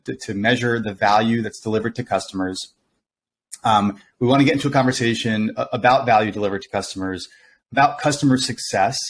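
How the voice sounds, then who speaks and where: A middle-aged man hosting an interview podcast, opening the show and asking questions in an upbeat, enthusiastic way. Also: medium pitch. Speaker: podcast host and company CEO.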